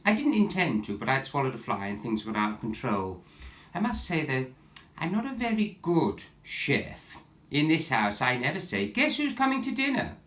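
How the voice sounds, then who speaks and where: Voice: mid-range voice, sharp tone. A performer playing a saucy older pantomime dame, telling cheeky jokes in a theatrical monologue. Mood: cheeky, mock-innocent. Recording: echoey room.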